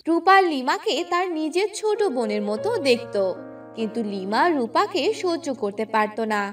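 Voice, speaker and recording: A woman's voice: high voice, big pitch swings, moderate pace, far from the mic, over music. A woman reading a scripted fairy tale as its narrator.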